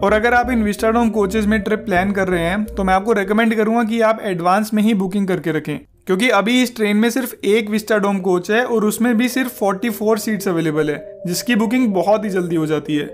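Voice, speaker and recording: A man's voice: high voice, brisk, few pauses, soft background music. A man hosting a travel channel, reading a scripted voice-over in an upbeat, recommending tone.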